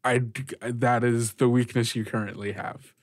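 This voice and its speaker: A man running a tabletop roleplaying game as game master, explaining rules in a halting, conversational way. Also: medium pitch, level tone.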